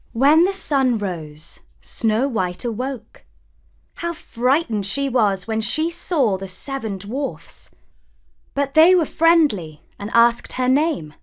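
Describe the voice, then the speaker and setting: A woman narrating a scripted children's fairy tale as a storyteller, expressive and deliberate. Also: a little high.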